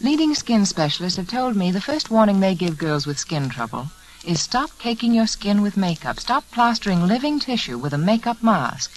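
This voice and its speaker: A woman giving earnest, measured, persuasive advice in a 1940s radio commercial. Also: medium pitch, sing-song. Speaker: beauty adviser in sponsor advertisement. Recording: faintly noisy vintage radio recording.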